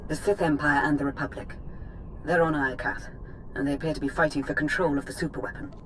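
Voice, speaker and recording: A woman's voice: low-pitched, fast, slight background noise, lightly acted, poor audio. A woman playing a cool, capable sci-fi field agent in a video game, composed, precise and serious. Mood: matter-of-fact. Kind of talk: video game cinematic acting.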